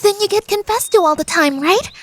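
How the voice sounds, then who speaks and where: A woman voicing an earnest, kindhearted high school senior girl in an anime fan radio play, talking in a casual, girlish way. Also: fairly quick. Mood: friendly.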